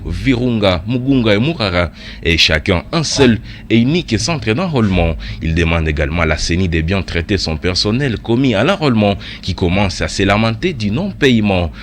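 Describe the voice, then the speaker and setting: A male news anchor reads a radio bulletin in a low voice that moves freely up and down. His delivery is measured and steady, in the manner of a newsreader. A faint noise sits under the recording.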